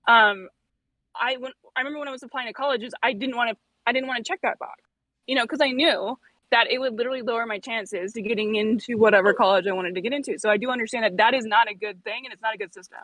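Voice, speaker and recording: Young woman, rapid and bright, blunt and sweary, dry close sound. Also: fairly high pitch, no echo, poor audio. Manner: blunt, swears freely, many fillers. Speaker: debate participant. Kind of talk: casual heated debate on stream.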